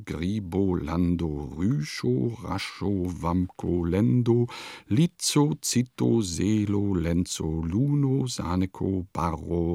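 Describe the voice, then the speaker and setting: An actor playing an eccentric village composer, declaiming lists, notes and diary entries in a scripted radio play. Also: male voice, medium pitch, creaky, dark tone, no room sound, studio quality. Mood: incantatory.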